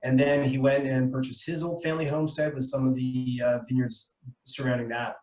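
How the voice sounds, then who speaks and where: A man's voice: mid-range voice, level tone, a little fast, darkish tone. A middle-aged man with a Canadian accent gives an informal, explanatory presentation as a wine importer, speaking conversationally with some hesitation over a video call. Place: indoor room, online video meeting. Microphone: video call microphone. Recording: echoey room on a video call.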